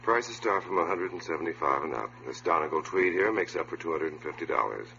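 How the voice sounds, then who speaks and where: An actor playing a polite, sales-minded tailor's clerk in a 1950s radio drama. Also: male voice, low voice, animated, quick pace, gravelly, sharp tone, full character voice. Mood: courteous. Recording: muffled, very noisy old radio recording.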